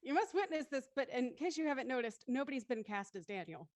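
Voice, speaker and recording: A woman with a fairly high voice narrates a script in a dry, close recording. She reads stage directions and drops into casual host talk. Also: expressive, quick pace, dry recording.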